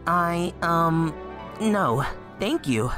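A woman voicing a bashful, hesitant young male hedgehog hero in an animated comic dub. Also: on the low side, drawn-out words, music in the background. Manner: hesitant, modest.

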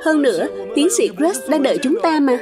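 A woman's voice: high-pitched, sing-song, brisk. A woman voicing a timid, nervous fat male cat in a cartoon dub, hesitant and pleading. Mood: worried. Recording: music playing under voice.

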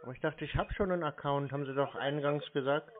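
A man's voice: a little high, fast talker. A man talking casually on the phone in an obtuse, needling way as a prank caller. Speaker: scam-baiting prank caller. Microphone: telephone. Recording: phone-like sound with office chatter.

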